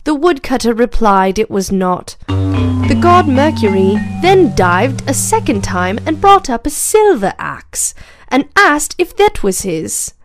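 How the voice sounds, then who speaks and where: A woman narrating moral stories for children in a slow, clear, even storybook reading. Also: on the high side. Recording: narration over clear background music. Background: background music.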